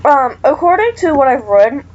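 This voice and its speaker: A woman's voice: high voice, very animated, normal speed, a little mellow, dull audio, slight background noise. A woman hosting a casual let's-play chat, apologetic and chatty, still getting over a cough. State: recovering from a cough. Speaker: gaming channel host.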